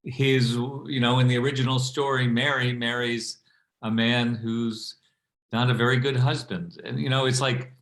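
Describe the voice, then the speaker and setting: Male panel guest, medium pitch, roomy sound, casual and halting delivery. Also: little pitch movement, a bit bright.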